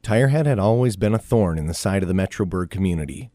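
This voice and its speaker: A man's voice: deep voice, animated, quick pace, dark tone, no echo, studio quality. A man narrating a scripted adventure story in a theatrical storytelling manner.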